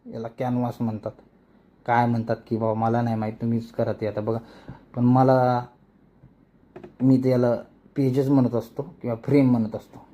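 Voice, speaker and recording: A man's voice: mid-range voice, level tone, moderate pace, muffled recording. A man with a rural Marathi accent from Maharashtra, giving a casual, colloquial step-by-step tutorial and often repeating words as he demonstrates. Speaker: animation tutorial creator.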